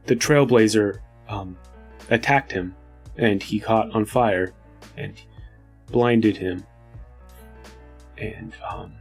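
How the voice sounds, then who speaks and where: A man playing an earnest, guilt-laden desert hunter in a tabletop game, speaking in a halting, somber way. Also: fairly low pitch.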